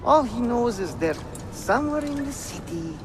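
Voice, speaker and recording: An actor playing an irritable, impatient soldier in a game cutscene, complaining in exasperation. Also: male voice, very high voice, very animated, normal speed. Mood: exasperated. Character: irritable, impatient male soldier guarding a dig. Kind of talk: dramatic video game cutscene acting. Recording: clear music behind the voice.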